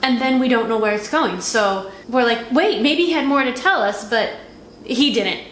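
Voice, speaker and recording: A woman hosting a casual film review, chatting and retelling in an animated, conversational way. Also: fairly high pitch, normal speed. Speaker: host of a movie review. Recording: roomy echo with faint background noise.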